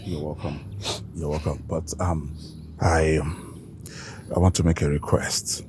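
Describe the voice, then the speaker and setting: An actor playing a stern, well-off husband with a Nigerian accent in a film drama. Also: male voice, very deep voice, level tone, a little slow, a little mellow, slight background noise. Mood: hesitant, polite.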